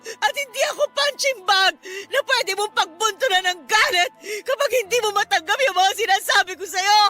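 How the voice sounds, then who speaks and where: A defiant Filipino wife with a very high, piercing voice. She scolds with heated, emphatic radio-melodrama emotion, over a music bed. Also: normal speed, sharp tone. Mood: anguished, furious. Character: fed-up, defiant wife and mother berating drunken husband.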